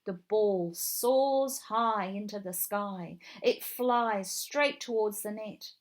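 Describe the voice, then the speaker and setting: Slow-paced woman reading a children's storybook. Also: medium pitch. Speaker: storybook narrator. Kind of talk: children's read-along narration.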